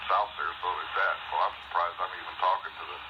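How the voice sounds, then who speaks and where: A man's voice: sits low, fairly even intonation, racing. A man in a casual radio chat, laid-back and using operator jargon, heard through a two-way radio transmission. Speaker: radio operator, caller on a repeater. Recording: noisy muffled radio repeater signal.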